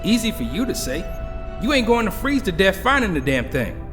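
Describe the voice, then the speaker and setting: A sarcastic teenage hero played by a man complains in a very high, lively male voice. Long reverb and music surround him. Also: fairly quick. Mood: indignant, griping. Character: grumbling, joking teenage boy hero. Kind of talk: audio drama acting.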